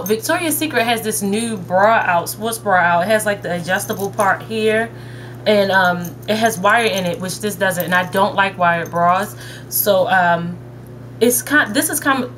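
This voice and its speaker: A woman's voice: mid-range voice, a bit bright. A young woman talks straight to her webcam from her bedroom, showing viewers what she bought. Her delivery is chatty and upbeat, with a friendly, mid-range voice. A faint hiss of background noise sits under the recording. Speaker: haul vlogger. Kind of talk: casual shopping haul chat. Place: bedroom, indoors. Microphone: webcam at desk distance.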